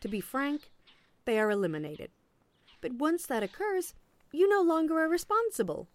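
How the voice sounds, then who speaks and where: A woman's voice: high voice, big pitch swings, normal speed, no room sound. A woman acting in an audio play as an officious agent, politely relentless and salesy, with veiled sarcasm. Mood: confidential, matter-of-fact.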